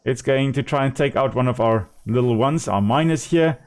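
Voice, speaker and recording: A man's voice: mid-range voice, normal speed, darkish tone. A man with a South African accent, a native Afrikaans speaker, chatting casually and explaining as he plays a game on a live stream.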